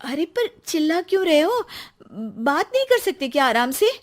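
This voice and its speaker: A woman voicing an emotional young wife in a cartoon story, speaking in a pleading way that takes offense quickly. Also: sits high, big pitch swings, pitched above the performer's own voice. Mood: worried.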